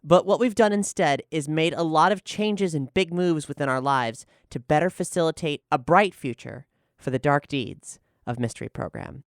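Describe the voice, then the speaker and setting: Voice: mid-range voice, normal speed, hardly any pauses, no room sound, clean studio sound. An enthusiastic, articulate podcast host talking conversationally through a question-and-answer show. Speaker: showrunner and host.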